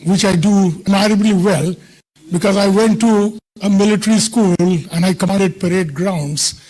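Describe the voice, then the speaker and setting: A man's voice: high voice, moderate pace, darkish tone, poor audio. An older Indian man with an Indian English accent giving an emphatic, self-assured conference speech with chatty asides, his voice slightly hindered by a tooth infection. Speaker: conference speaker. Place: conference hall.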